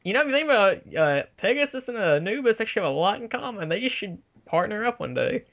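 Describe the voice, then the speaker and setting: High-voiced flippant man, dull lo-fi sound. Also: big pitch swings, quick pace, gravelly, fairly bright tone. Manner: crude, flippant, playful riffing. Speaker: co-host of a fan movie commentary. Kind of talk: casual joking banter. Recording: dull lo-fi voice track.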